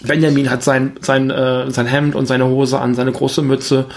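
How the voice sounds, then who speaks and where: A man's voice: mid-range voice, little pitch movement, a little slow, creaky. German man chatting casually about film. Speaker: podcast co-host. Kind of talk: casual film review chat.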